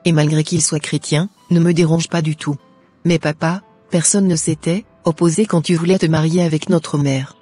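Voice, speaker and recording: A man voicing an earnest, obedient young woman with a measured manner in a scripted cartoon. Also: on the high side, steady tone, mellow, breathy edge, no echo, faint music behind. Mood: hurt, pleading. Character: earnest young woman, obedient yet devoted to her love.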